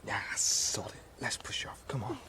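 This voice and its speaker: An actor playing a cocky rural gang ringleader, a rough lad with a West Country accent, in a radio drama. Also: measured pace, bright, forceful tone, whispered. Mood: deflated. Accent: West Country English. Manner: blunt, swaggering dialect talk.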